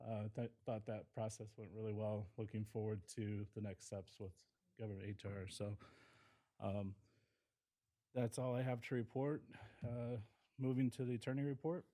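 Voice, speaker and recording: A man chairing a board meeting in a mellow, mid-pitched voice, moving item to item with frequent ums and uhs. The sound is dry and close. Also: level tone, moderate pace, no echo. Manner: hesitant, with many fillers. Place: meeting room.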